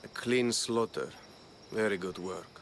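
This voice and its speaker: Sly wealthy stranger, very deep voice, measured, suave, video game, faint insect ambience. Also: male voice, slight background noise. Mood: cool, amused. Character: smooth, sly, well-to-do male schemer from abroad. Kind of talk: video game cutscene acting.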